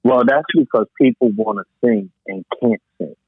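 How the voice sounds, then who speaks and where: Husky, phone-line man in casual debate. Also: medium pitch, normal speed, no room sound. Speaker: DJ and podcast panelist. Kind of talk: casual panel discussion about hip-hop. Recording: thin, phone-like call audio.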